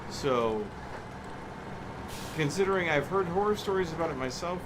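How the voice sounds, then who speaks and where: A man hosting a gaming livestream, explaining things in a measured, diplomatic way. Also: on the high side, expressive. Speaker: livestream host. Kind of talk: gaming livestream chat with co-players. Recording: clear background vehicle game noise.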